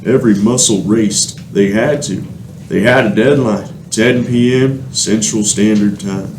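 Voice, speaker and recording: A man's voice: lowish voice, unhurried, a little mellow. A man narrating a radio play in a scripted, dramatic storytelling style. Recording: echoey room, faint noise.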